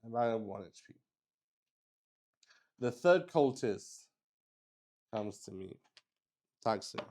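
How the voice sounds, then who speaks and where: A man narrating a solo tabletop roleplaying game as he plays, thinking aloud and speaking haltingly. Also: lowish voice, animated, warm tone. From Luxembourg.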